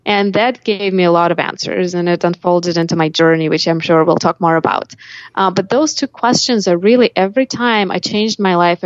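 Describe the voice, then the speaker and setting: A woman's voice: lowish voice, no echo, dull audio. A woman with a Russian accent telling reflective personal stories as an interview guest, often voicing her own thoughts aloud. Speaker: life coach, podcast guest.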